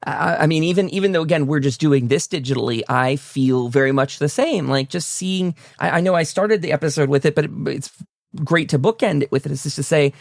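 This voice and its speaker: A man's voice: fairly high pitch, brisk, gravelly, no echo, clean studio sound. A man hosting a casual interview, speaking in an enthusiastic, complimentary way. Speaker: talk show host interviewing a guest.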